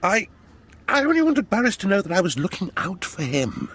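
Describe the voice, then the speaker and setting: A high-pitched, self-serving noble villain in a sci-fi game. His husky voice swoops wildly, ingratiating and theatrical, every bit the oily coward. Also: wildly swooping pitch, brisk, fairly bright tone, heavily acted. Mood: pleading, frightened. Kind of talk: cinematic game voice acting.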